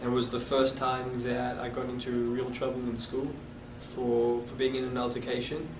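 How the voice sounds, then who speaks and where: A man in his thirties with a South African accent, recalling his past with halting restarts in an oral history interview. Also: mid-range voice, flat delivery. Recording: echoey room, distant mic, noisy.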